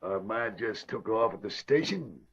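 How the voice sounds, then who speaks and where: Casting: tough radio-drama thug, gruff slang, rough rasping voice, muffled vintage sound. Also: male voice, fairly low pitch, expressive, normal speed, heavily acted. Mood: gloating. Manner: gruff slangy gangster talk. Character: tough gunman thug, menacing, sneering, slangy. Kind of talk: 1940s radio acting. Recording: dull lo-fi old radio recording.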